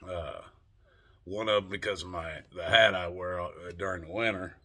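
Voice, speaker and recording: A man's voice: low voice, fairly even intonation, moderate pace, bright, forceful tone. An older man with a Southern US accent, rambling in a folksy, salty way as he talks to his camera for a vlog. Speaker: vlogger. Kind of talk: casual monologue to camera.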